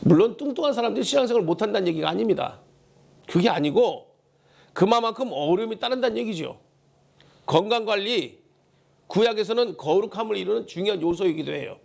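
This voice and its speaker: Male pastor, high voice, hoarse and gravelly, emphatic sermon delivery with repeated key phrases. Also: expressive, a little fast, a little mellow. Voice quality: hoarse, worn voice. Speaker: pastor preaching a Sunday sermon. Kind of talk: Korean church sermon with call-and-response prompts. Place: church service.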